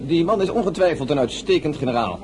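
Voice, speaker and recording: A man's voice: fairly high pitch, lively intonation, quick pace, close to an everyday voice. Actor as a curt, sceptical statesman. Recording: salon crowd murmur behind.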